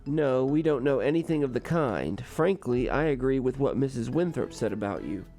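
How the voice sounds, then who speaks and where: A man playing a genial, erudite college gentleman in a comedic radio drama, speaking formally and philosophically. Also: medium pitch, expressive, faint music behind, close to an everyday voice. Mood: gently philosophical. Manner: genteel, measured, formal wording. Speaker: student radio drama actor.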